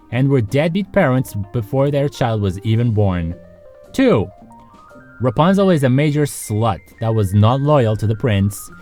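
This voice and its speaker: A man with a lively, swooping delivery narrates in a sarcastic, joking style. He slips often into casual asides to the viewer, as a host would. Soft music plays under his voice. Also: medium pitch. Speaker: host of a video series.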